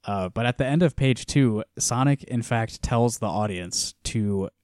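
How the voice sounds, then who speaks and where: A man co-hosting a casual podcast, walking through a comic with frequent laughter and quiet trailing-off lines. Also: mid-range voice, a little slow, dry recording, studio quality. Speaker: podcast co-host. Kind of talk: casual chat reviewing a comic.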